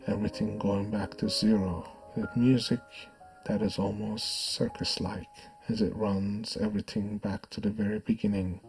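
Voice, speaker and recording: A man with a low voice leads a guided hypnosis session as the hypnotist. His delivery is soothing, hushed and measured, with lingering pauses between phrases. Faint music plays behind him. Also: low-quality recording.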